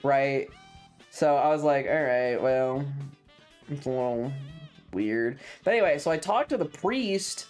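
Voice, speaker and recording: A mid-pitched streamer of androgynous voice chats casually, swearing freely and retelling things with sardonic, acted-out dialogue. Video game music plays behind the voice. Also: animated, a little slow, darkish tone. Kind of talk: casual storytelling to stream chat.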